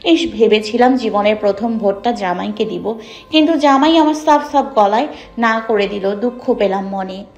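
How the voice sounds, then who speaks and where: A young woman with a Bangladeshi accent playing a playful, gently pouting young wife who teases and complains mildly in a romantic audio story. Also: mid-range voice, expressive, normal speed, faint music behind, close to an everyday voice.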